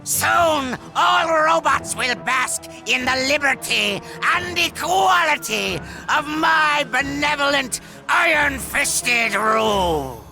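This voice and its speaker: An actor playing a megalomaniac robot villain in a video game, making a theatrical, grandiose speech. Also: male voice, very high voice, lively intonation, slow, vocal fry, few pauses, pushed and bright, shouting, faint music behind, exaggerated voice. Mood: triumphant shouting. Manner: theatrical, grandiose speechifying with sudden swings to menace.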